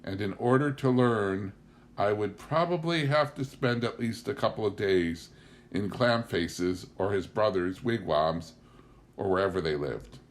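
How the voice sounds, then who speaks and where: Low-voiced man reading a memoir. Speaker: audiobook reader. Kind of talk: literary narration, reading a memoir aloud.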